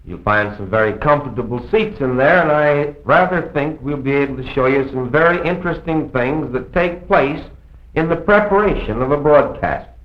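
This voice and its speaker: A man's voice: medium pitch, animated, muffled recording. Echoey old film recording of a formal man. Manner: courteous, formal, measured oratorical phrasing. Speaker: radio program host. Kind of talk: formal scripted welcome address, mid-century radio manner.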